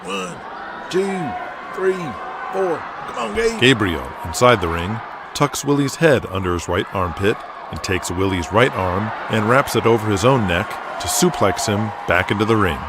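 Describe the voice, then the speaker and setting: A man with a low-pitched voice delivers scripted narration for an audio drama. Loud ambient noise runs behind him throughout. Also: lively intonation, normal speed.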